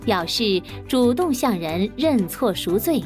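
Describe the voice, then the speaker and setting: A woman telling a traditional tale to children, clear and explanatory, her voice moving up and down with lively expression. Music plays clearly behind her. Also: mid-range voice, takes it slowly. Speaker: narrator. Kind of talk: educational storytelling for children.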